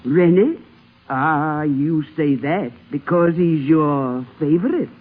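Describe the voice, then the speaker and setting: An actress playing a very old, shrewd, commanding grandmother in a radio drama, speaking deliberately with needling questions. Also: deep voice. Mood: probing, shrewd. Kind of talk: 1950s radio drama acting. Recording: vintage dull radio recording.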